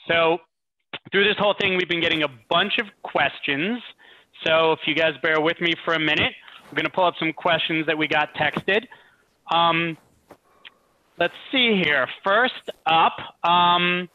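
A man's voice: on the high side, bright, forceful tone, dry recording. A man hosting a charity livestream informally over a video call, with hesitant, appreciative delivery. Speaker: host. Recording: dull video call audio.

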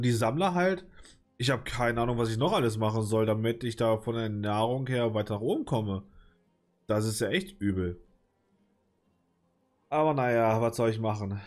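A man with a cold commenting casually on his city-building game, hesitant and rambling with many fillers, clearing his throat now and then. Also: mid-range voice, a little mellow. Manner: rambling, hesitant, lots of filler, mild swearing. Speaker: let's play gamer.

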